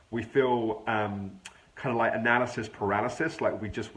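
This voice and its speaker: A man who has a cold, sounding nasal and gravelly, explaining a thought pattern in a measured, informal live stream. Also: lowish voice, a bit bright, poor audio. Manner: measured, explains with everyday examples. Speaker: health clinic practitioner hosting a live stream.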